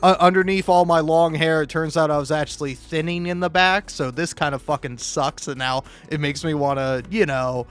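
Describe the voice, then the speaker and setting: A man giving casual, rambling game commentary in a halting, jokey way, often repeating words and restarting, swearing freely and putting himself down. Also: a little high, fairly even intonation, a bit bright, smooth. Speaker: video game critic and YouTuber. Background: music.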